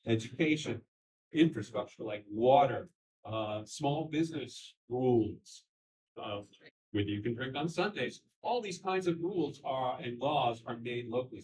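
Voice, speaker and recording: Man, candidate speech, earnest, halting, mid pitch, distant mic, meeting room. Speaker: candidate for county party chair. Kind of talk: short campaign speech. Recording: voice from far off the mic.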